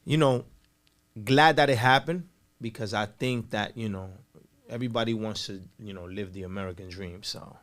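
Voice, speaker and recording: A man's voice: fairly low pitch, moderate pace, a bit bright. A man in his forties from Puerto Rico, raised in Brooklyn, telling his story as a podcast guest in a laid-back way. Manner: laid-back, many "you know" fillers, laughs easily. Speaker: radio DJ, guest. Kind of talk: casual interview storytelling.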